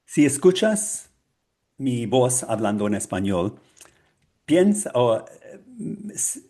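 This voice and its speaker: Slow, halting man with a Mexican-tinged accent. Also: medium pitch, mellow. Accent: Mexican-influenced Spanish. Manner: hesitant, searching for words with many fillers. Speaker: Spanish student and co-host. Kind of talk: casual podcast chat.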